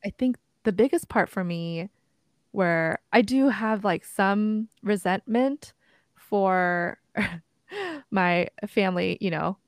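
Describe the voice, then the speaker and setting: A young woman born in Korea, co-hosting a casual podcast. She thinks out loud in a reflective, searching way, full of "like" and "you know." Her voice is mid-pitched and recorded dry and close. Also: slow pace, no echo. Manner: reflective, thinks aloud with many likes and you-knows. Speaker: podcast co-host. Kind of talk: casual chat.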